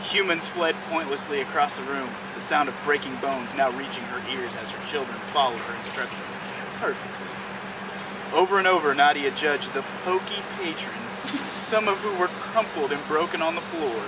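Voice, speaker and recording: A man's voice: a little high, normal speed. A man reading a comic story aloud with casual, amused banter, often cracking up as he goes. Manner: breaks into laughter while reading. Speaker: co-host reading a mad-libs story aloud. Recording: very noisy, distant mic, steady background hum.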